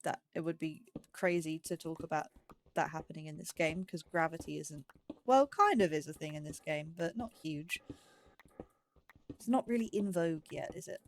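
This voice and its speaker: A woman's voice: sits low, normal speed. A woman giving casual let's play commentary, chatting and musing aloud in a self-deprecating way. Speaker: gaming YouTuber, host of a let's play series.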